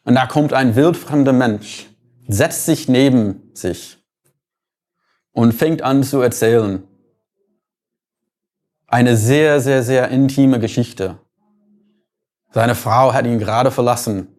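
A man with an American accent lectures in an ordinary mid-range voice. He talks thoughtfully and pauses now and then to find his words. He works through his points with everyday examples. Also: medium pitch, darkish tone. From United States. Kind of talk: explanatory lecture.

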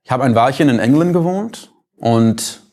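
A man with an American accent lectures in an ordinary mid-range voice. He talks thoughtfully and pauses now and then to find his words. He works through his points with everyday examples. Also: mid-range voice, lively intonation, darkish tone. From United States. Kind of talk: explanatory lecture.

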